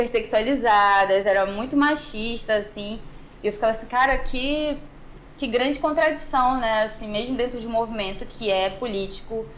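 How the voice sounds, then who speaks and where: A woman's voice: fairly high pitch, rough recording. A young woman from Manaus with a northern Brazilian accent, talking informally and reflectively as a podcast guest about her own experience. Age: young adult. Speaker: podcast guest, musical artist. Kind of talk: conversational personal storytelling in an interview. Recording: clear background noise.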